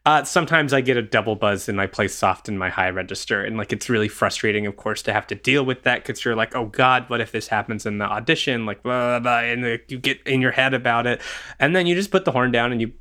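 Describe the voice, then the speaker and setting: A man's voice: mid-range voice, fast talker, bright, forceful tone. A man in his twenties, an orchestral horn player, answering interview questions in an earnest, easygoing way with light laughs and frequent "um"s. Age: 20s.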